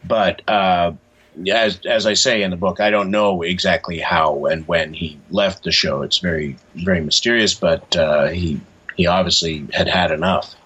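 A man answering as an interview guest in a casual, reflective and measured explanatory way. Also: sits low, steady tone, fairly bright tone, breathy edge, muffled recording. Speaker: interview guest, graphic novelist.

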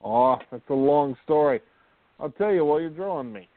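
An actor playing a friendly, boyish Australian soldier in a radio play, on a thin recording. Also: male voice, on the high side, animated, normal speed, no echo, close to an everyday voice. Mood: friendly, proud. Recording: muffled, thin phone-like audio.

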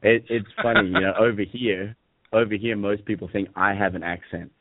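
A man's voice: fairly low pitch, brisk, no room sound. A man with an Australian accent that has some American and South African mixed in, a fitness business coach chatting as a guest and laughing easily. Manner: relaxed, laughs easily, many fillers. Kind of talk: casual interview chat. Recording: dry, tinny phone-like line.

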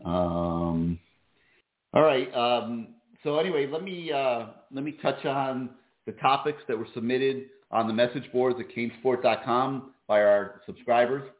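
A man hosting a sports call-in show, talking casually with many fillers, his voice going hoarse. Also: mid-range voice, measured pace, echoey room, phone-quality sound. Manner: relaxed, many fillers and restarts. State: losing his voice. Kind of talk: casual call-in radio talk.

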